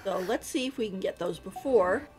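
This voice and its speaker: A woman's voice: medium pitch, lively intonation, normal speed. A woman giving casual live commentary as she plays a game, giggly and thinking aloud. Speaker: game streamer. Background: game music.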